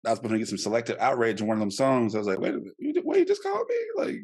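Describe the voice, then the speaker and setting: A man jokes around on a casual podcast, his pitch swinging wildly from low to high. Allergies are throwing his voice off. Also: very fast, husky. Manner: animated and joking. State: voice affected by allergies. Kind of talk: casual chat.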